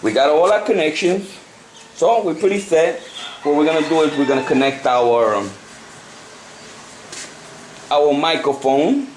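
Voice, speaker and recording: A man giving an unscripted hands-on tutorial, talking haltingly and repeating himself as he works through the steps. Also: a little high. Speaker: hobbyist presenter of a CB radio tutorial. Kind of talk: unscripted hands-on how-to demonstration. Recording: noisy amateur home video.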